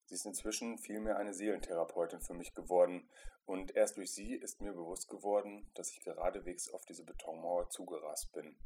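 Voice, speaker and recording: A man narrating a first-person literary story in an introspective, dramatic way, with emphatic swells and quieter drops. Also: deep voice, fairly even intonation, fairly quick, a little hiss or hum. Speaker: narrator reading a short story.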